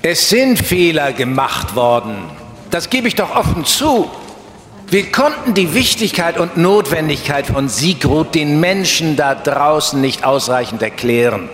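An actor playing a grandiose banker-politician, giving a solemn, declamatory political speech in a satirical radio play. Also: male voice, a little high, lively intonation, unhurried, fairly bright tone. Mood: solemn justifying. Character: grandiose male banker-politician proclaiming a new law. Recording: echoey hall with long reverb.

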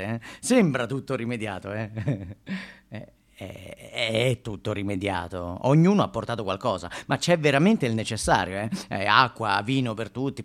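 Casting: folksy male bar owner acted by a man, mid-pitched, conversational stage storyteller, chatty, talks directly to the listener. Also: a bit bright, lightly acted. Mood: amiable, storytelling. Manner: chatty, addresses listeners, rhetorical questions and colloquial asides. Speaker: stage actor performing a monologue. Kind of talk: theatrical monologue in conversational storytelling.

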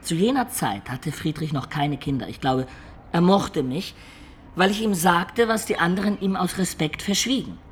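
An actor playing an imaginative peasant-born man who looks back on his life in a German radio play, in reflective, expressive storytelling. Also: high voice, moderate pace, slight background noise. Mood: fond, admiring.

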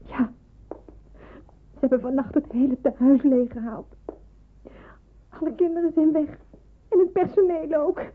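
An actress playing a gentle young woman who helps with small children in wartime, soft-spoken and reflective, in a radio play. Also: high-pitched, fairly even intonation, a little slow, pauses often, a little hiss or hum. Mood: tearful, shocked. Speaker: narrator and lead character of a radio drama. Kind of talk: first-person radio drama narration and dialogue. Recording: muffled, phone-like old radio recording.

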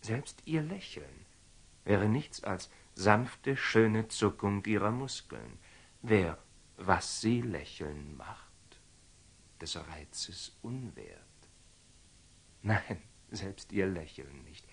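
An actor playing a proud, hot-headed knight in love, in an agitated, self-questioning monologue from a classical radio drama. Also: male voice, lowish voice, expressive, very slow, gravelly, breathy edge, exaggerated voice. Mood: brooding, self-doubting.

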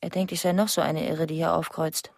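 An actress in a radio drama playing a suspicious, obsessive young wife giving testimony in terse, insistent fragments. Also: female voice, low voice, little pitch movement, fairly quick, creaky, a little mellow. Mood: bitter, controlled. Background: faint music.

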